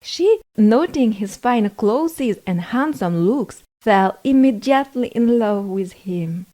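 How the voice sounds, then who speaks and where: Female storyteller for children's fairy tales, higher register, very animated intonation, unhurried delivery. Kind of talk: scripted fairy tale narration for children.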